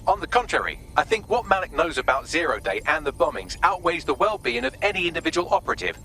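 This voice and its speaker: A man's voice: fairly high pitch, sing-song, fast, gravelly, bright, forceful tone, faint music behind, heavily acted. A man voicing a snarky, pedantic artificial intelligence assistant in a video game, dry and wordy. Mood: brisk briefing.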